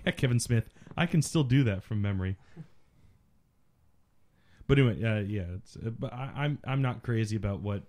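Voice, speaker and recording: A man's voice: medium pitch, moderate pace, long pauses, darkish tone. A man co-hosting a casual film commentary, explaining things in a geeky, profane way. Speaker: podcast co-host.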